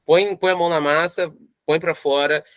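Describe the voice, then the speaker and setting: A man giving advice in an interview, earnest and encouraging. He repeats his key points for emphasis. His voice sits fairly high and the sound is dry and close. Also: steady tone, moderate pace, no room sound. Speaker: writer and creator of audio dramas.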